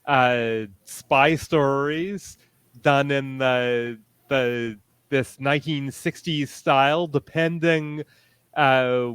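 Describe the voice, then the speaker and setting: Male panelist, unhurried and halting delivery, smooth clear tone, close dry sound. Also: mid-range voice, fairly even intonation, a bit bright, dry recording. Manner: halting, pausing mid-sentence, explanatory. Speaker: convention panelist. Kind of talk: panel discussion of audio dramas.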